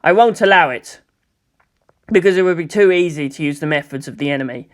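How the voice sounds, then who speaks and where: A man's voice: fairly high pitch, fairly quick, clean tone, lightly acted. A man voicing a reluctant, earnest and defiant teenage boy hero in a dramatised fan fiction reading, speaking with intensity.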